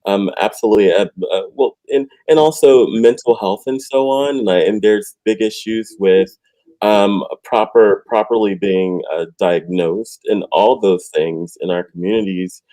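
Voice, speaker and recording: Mellow, low, hesitant man. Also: no echo, poor audio. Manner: hesitant, halting. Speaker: panelist. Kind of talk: unscripted panel answer.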